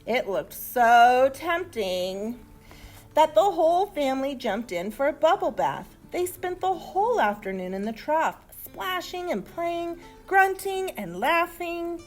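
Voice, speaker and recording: A woman reads a children's storybook in an expressive, deliberate storytelling manner. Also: high voice, faint music behind. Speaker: storybook reader. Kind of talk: animated children's story narration.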